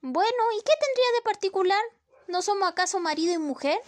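A woman's voice: very high-pitched, lively intonation, quick pace. A young woman, a student actor, playing a clingy, melodramatic wife in an amateur radio drama. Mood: pleading. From Chile. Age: young adult.

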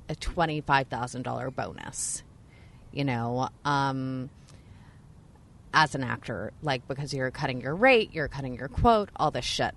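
Chatty, profane woman podcast host. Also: low-pitched. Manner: chatty, rambling, many fillers, profane, laughs a lot. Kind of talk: casual podcast chat.

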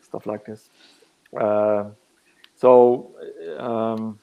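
Man, 40s, low gravelly delivery, slow, halting and reflective. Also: flat delivery, narrow, tinny audio. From northern Netherlands. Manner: halting, searching for words. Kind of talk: reflective interview answers.

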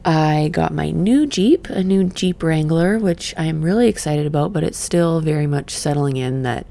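A middle-aged woman who just woke up, leading a gentle breathing exercise and then reflecting in a soft, meandering way. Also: low voice, vocal fry. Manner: soft, slow and meandering, with sighs and fillers. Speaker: podcast host and session coach. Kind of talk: guided breathing then casual reflective talk.

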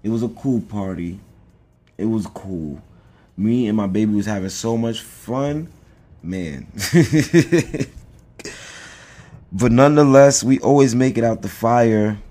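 A man's voice: on the low side, takes it slowly, long pauses, dark tone. A man co-hosting a podcast in casual chat, rambling and joking with lots of fillers. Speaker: podcast co-host.

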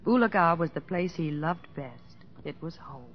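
An actress playing a devoted, gently concerned American wife in an old-time radio drama, tender and earnest. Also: female voice, low-pitched, lively intonation, moderate pace, a little hiss or hum. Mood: wistful. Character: devoted, gently concerned wife telling her husband's story. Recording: muffled old radio recording with music.

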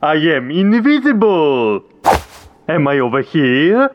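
A man's voice: on the high side, sing-song, slow pace, muffled recording, heavy background noise. A man playing a vain, whiny invisible superhero in a comedy audio drama, with a theatrical delivery. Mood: smug, showy.

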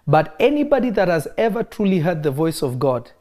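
A man who grew up in Kenya, preaching in a relaxed interview and driving his points home by repeating key phrases. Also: fairly high pitch, a little fast. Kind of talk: conversational preaching.

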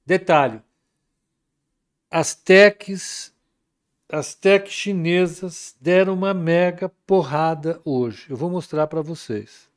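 A man talks through the day's market numbers in a loose, chatty way, reading viewer comments aloud as he goes. His voice sits a little high for a man. He takes his time and never hurries. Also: slow pace. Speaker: market commentary livestream host. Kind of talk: casual live market commentary.

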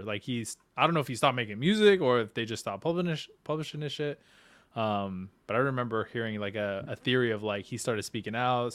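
A man's voice: mid-range voice, a bit bright, dry recording. A man co-hosting a podcast, recounting something hesitantly and filling with "like" and "um". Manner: hesitant storytelling with many "like"s. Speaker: podcast co-host. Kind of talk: casual chat.

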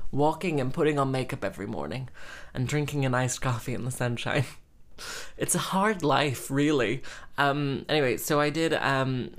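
A young woman in her twenties, a musician chatting in a rambling, self-mocking way with lots of fillers. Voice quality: says she has a squeaky voice. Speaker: musician and vlogger. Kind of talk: casual rambling monologue.

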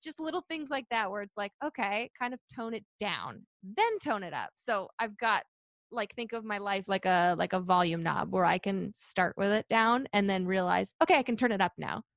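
A young woman who works as a reporter, answering interview questions in a chatty, energetic way and laughing often. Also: normal speed, gravelly, no echo. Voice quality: high-pitched voice. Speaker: sports reporter.

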